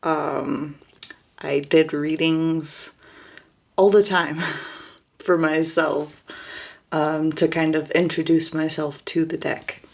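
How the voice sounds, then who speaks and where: A woman talking casually to camera as she introduces herself, in a monotone voice with hesitant pauses and restarts. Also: deep voice, creaky. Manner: monotone, flat delivery; hesitant, many fillers and restarts.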